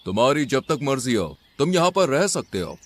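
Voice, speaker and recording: A man voicing an angry, commanding lion king in an animated fable, accusing and authoritative. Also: medium pitch, a little fast.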